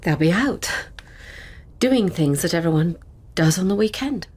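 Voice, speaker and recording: A woman's voice: lowish voice, animated, a little slow, a little mellow, breathy edge. A middle-aged woman playing a dithery, squeamish mother in a radio drama, hesitant and fluttery. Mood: subdued. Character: dithery, squeamish, fainting mother of grown children.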